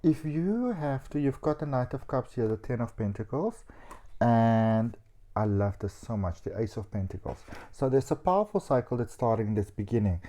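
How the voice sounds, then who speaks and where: A man giving a casual tarot reading as a psychic. He talks in a chatty, animated way, with frequent fillers. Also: medium pitch, normal speed.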